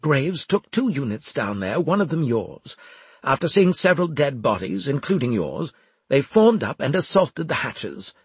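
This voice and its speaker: Man acting a haughty, irritable officer, pitched high. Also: sing-song, normal speed, husky, no echo. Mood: exasperated, sarcastic. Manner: clipped, sarcastic, demanding. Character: haughty, irritable male military officer, sneering and urgent.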